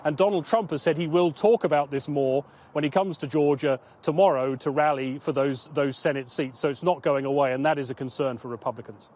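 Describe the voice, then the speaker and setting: A man's voice: fairly high pitch, brisk, few pauses, darkish tone. A man working as a news correspondent, giving a live explanatory report. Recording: outdoor report with clear background noise.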